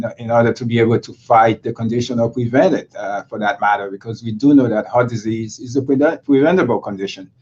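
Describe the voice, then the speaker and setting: A cardiologist originally from Haiti, speaking in an interview and pausing often with filler sounds. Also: male voice, medium pitch, a little mellow, highs cut off. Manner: hesitant, many filler sounds. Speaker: cardiologist guest. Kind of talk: interview conversation.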